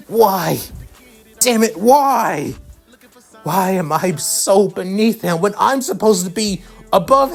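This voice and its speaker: Man acting a proud, anguished teenage boy, raised pitch. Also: breathy edge, exaggerated voice. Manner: strained, self-questioning outbursts.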